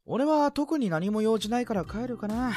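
A man playing a sly, teasing high school senior in a Japanese romantic-comedy voice drama, smooth-talking and confident. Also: animated, moderate pace, darkish tone, soft background music. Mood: light, casual. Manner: smooth-talking, playful, insinuating.

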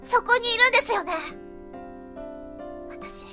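A woman playing a timid but earnest first-year high school girl in a voice drama, speaking in a halting, polite, flustered way. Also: sharp tone, soft background music, full character voice. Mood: determined. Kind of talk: Japanese voice drama acting.

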